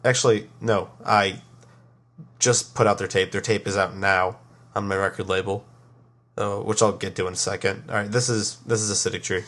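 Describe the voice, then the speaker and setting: A man's voice: fairly low pitch, fairly even intonation, normal speed, a bit bright. A young man with a cold and a nasal voice, hosting a podcast in rambling casual chat full of fillers and restarts. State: has a cold. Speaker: podcast host.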